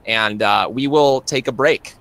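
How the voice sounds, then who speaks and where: A man narrating a tabletop roleplaying game as game master, in a casual, halting, improvised way. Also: medium pitch, a bit bright. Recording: clearly noisy live stream.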